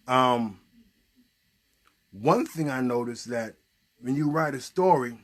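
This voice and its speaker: A man hosting an improvised children's storytelling puppet show, casually thinking aloud in a halting, questioning way. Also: medium pitch, slightly rough.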